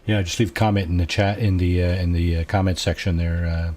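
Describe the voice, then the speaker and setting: A man with a low, soft voice, quietly talking through a video game at an unhurried pace, musing aloud with many fillers. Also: flat delivery. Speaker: gameplay streamer. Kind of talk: casual let's-play commentary.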